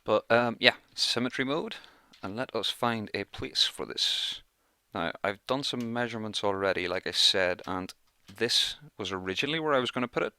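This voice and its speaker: A man's voice: fairly low pitch, bright, forceful tone, no echo. A man giving casual let's play commentary, chatting and thinking aloud as he plays, close on the microphone in a small room. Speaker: gaming YouTuber, let's play host.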